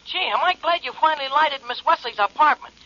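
Very high-pitched, eager little sidekick. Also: male voice, fast talker, noisy background. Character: eager, street-talking little male sidekick informant. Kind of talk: 1940s radio acting. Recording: dull old radio recording.

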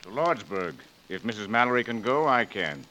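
Courtly, sharp-voiced gentleman gambler. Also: fairly low pitch, sing-song, moderate pace, low-quality recording. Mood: resolute. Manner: formal, chivalrous. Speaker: actor in a radio drama. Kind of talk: 1940s radio acting, western. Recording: hissy vintage 1940s radio recording.

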